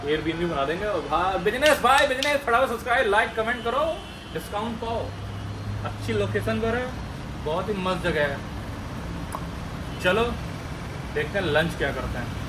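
A man's voice: high voice, expressive, moderate pace, long pauses, noisy background. A man vlogging casually outdoors at a hotel, chatting in a playful, teasing way. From Lucknow, India. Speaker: travel vlogger. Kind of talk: casual vlog chat.

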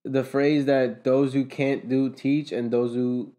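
A man's voice: mid-range voice, little pitch movement, takes it slowly, mellow. A young man co-hosting a podcast, explaining at length in casual chat with many restarts and fillers, recorded by a camera across a table. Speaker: podcast co-host. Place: room with a conference table.

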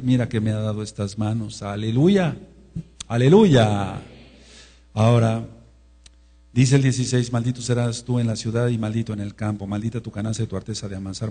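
Husky emphatic male preacher, humming recording. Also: fairly low pitch. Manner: emphatic, rising to loud exclamations. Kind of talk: sermon. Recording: mains hum.

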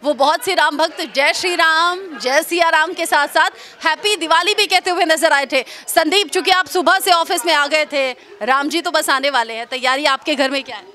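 A woman's voice: high voice, normal speed, hardly any pauses. A woman in her thirties reporting live in a newsroom, chatting with colleagues on a handheld mic. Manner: lively, chatty, upbeat questioning. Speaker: news reporter. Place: busy indoor newsroom.

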